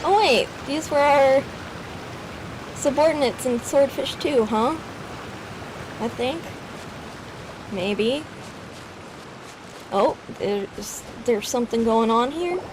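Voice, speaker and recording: A woman's voice: sits high, slow pace, pauses often, background noise. A woman streamer making giggly, unsure asides while playing a story game. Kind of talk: casual reactions during gameplay. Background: game music.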